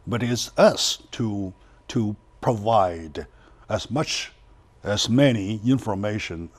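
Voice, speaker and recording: A man hosts a community TV talk show. He speaks haltingly, restarting phrases and filling gaps with "uh". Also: lowish voice, a little mellow. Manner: halting, many restarts and fillers. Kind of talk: community TV interview.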